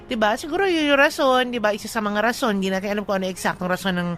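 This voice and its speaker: A woman's voice: mid-range voice, quick pace, fairly bright tone. A woman with a Filipino accent co-hosting a radio show, chatting in a playful, teasing way. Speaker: radio DJ co-host. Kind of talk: casual radio banter.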